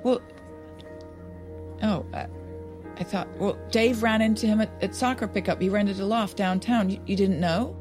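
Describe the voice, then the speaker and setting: A woman's voice: medium pitch, normal speed, pauses often, over music, natural voice. A woman playing a chatty, tactless friend in a naturalistic audio drama, stammering as she backpedals. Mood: awkward, backpedalling.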